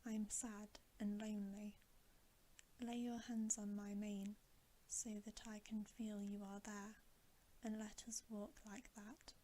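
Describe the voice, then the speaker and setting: A woman's voice: mid-range voice, flat delivery, slow pace, warm tone, slight background noise, close to an everyday voice. A woman voicing a sad, kindly, regal male lion from a storybook in a solemn whisper. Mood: sad, lonely.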